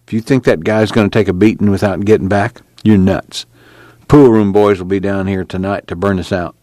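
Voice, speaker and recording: Wary migrant farm man, hushed and urgent, read by a man, low, dry. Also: steady tone, a little fast, no room sound, lightly acted. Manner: folksy rural speech, hushed and urgent. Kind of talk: reading a novel aloud.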